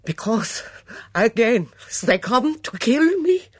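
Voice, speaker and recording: A woman voicing an excitable young foreign housemaid who speaks loud, theatrical, broken English. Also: fairly high pitch, slow pace, no room sound, studio quality, voice pushed up, exaggerated voice. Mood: alarmed.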